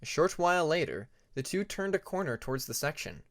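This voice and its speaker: A man narrating a fantasy story as an audiobook, with expressive scripted delivery. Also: fairly high pitch, fairly quick, no echo, clean studio sound. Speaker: audiobook narrator of fan fiction.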